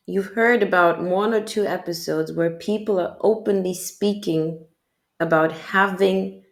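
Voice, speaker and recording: A woman hosting her own podcast, reacting to a clip in a frank, rambling way and asking rhetorical questions. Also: on the low side. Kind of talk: reaction commentary.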